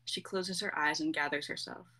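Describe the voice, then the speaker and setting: A young woman narrates a script, reading the stage directions aloud. Her voice sits slightly lower than most women's. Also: fairly even intonation, normal speed, bright, forceful tone, low-quality recording. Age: young adult.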